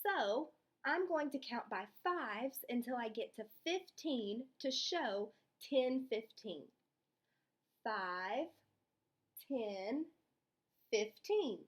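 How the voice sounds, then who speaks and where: Woman, elementary teacher, higher-pitched voice, very unhurried, children's read-aloud, step-by-step explaining. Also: expressive.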